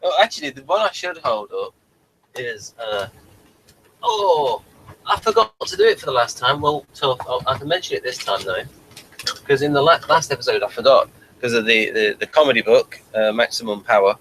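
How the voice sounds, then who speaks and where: A man chatting casually as co-host of a review show, amused and halting in his delivery. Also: medium pitch, very animated, a bit bright, rough recording. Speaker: podcast co-host reviewing TV episodes. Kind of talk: casual chat.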